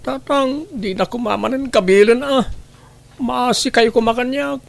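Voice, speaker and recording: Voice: medium pitch, moderate pace, vocal fry, dry recording, lightly acted. An actor playing a poor, hardworking young boy in a radio drama, respectful and pleading. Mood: distressed. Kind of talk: Ilocano radio drama acting.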